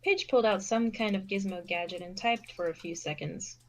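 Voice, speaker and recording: A woman's voice: medium pitch, fairly quick. A woman giving scripted narration as the narrator of an amateur fan fiction reading.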